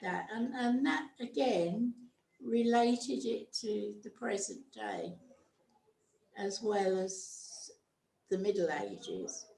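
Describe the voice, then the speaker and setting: A woman with a creaky voice speaks slowly and haltingly as she gives considered feedback. The sound is roomy and echoing. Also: mid-range voice, sing-song, mellow. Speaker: participant giving feedback. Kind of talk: casual feedback discussion.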